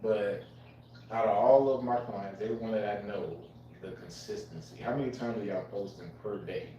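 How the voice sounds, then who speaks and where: A man moderates a live panel, pressing with probing questions and recapping what was said. His voice sits low and arrives from a distance, with strong room echo and a faint hum of background noise behind him. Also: normal speed, warm tone. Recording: echoey room from far off.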